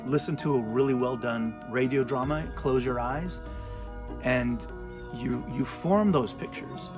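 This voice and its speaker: Man, mid-pitched voice, measured, explanatory interview, clear music behind.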